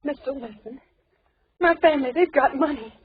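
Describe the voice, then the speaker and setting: An actress as a terrified young woman held captive. Her voice is high and tearful, begging desperately in a breathless, melodramatic 1940s radio manner. The old recording sounds dull and narrow. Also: moderate pace, natural voice. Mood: frightened pleading. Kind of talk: 1940s horror radio acting. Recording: muffled vintage radio recording.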